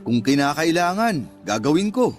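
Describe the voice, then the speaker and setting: An actor playing a patient, devoted young suitor in a Filipino radio drama, with a Filipino accent. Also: male voice, fairly high pitch, sing-song, moderate pace, soft background music. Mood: tender, hopeful.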